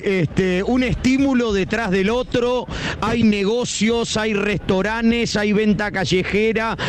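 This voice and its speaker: A man with a Rioplatense accent reports live from a busy city street, painting the scene in vivid, unhurried detail. His voice is high for a man, with a faint rumble of traffic noise behind him. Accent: Rioplatense Spanish. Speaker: field reporter.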